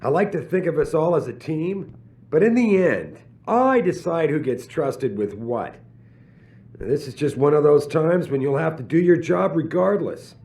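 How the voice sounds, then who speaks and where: An actor playing a proud, evasive middle-aged company boss in a video game, speaking emphatically with drawn-out stresses. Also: male voice, on the high side, big pitch swings, darkish tone. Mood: stern, irritated. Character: commanding, evasive, proud corporate boss man. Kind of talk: video game cutscene acting.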